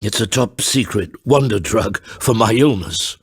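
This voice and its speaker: An actor playing a grave, theatrical middle-aged father and storyteller in a video game, speaking in a deliberate, formal and grandiose way with a gravelly, husky voice. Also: fairly low pitch, no room sound, clean studio sound. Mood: weary, solemn. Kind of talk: dramatic video game voice acting.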